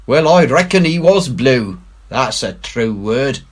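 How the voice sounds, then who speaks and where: A man playing a jumpy, superstitious pirate deckhand with rough sailor dialect in a storybook reading. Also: mid-range voice, lively intonation, slow, voice pushed up. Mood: uneasy.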